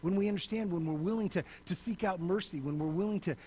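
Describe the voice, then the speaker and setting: A middle-aged man preaching on a church stage, swinging between loud emphasis and soft, hushed passages. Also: sits high, fast, a little hiss or hum. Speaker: pastor. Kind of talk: sermon. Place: church stage, in front of a lit backdrop.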